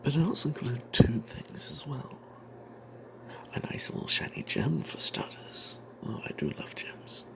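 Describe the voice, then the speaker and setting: A man voicing a greedy, cowardly adventurer cleric, telling a fantasy tale in the first person in a breathy, secretive ASMR whisper with hesitant pauses. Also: mid-range voice, fairly bright tone, slight background noise. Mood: sly, hushed. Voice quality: breathy whisper with creaky edges. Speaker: narrator of a tabletop fantasy tale.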